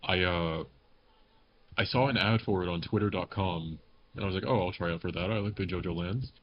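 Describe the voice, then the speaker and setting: A man's voice: low-pitched, brisk. A man chatting casually on a group podcast in a joking, rambling way. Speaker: podcast panelist, amateur voice actor. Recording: dull lo-fi mic with background noise.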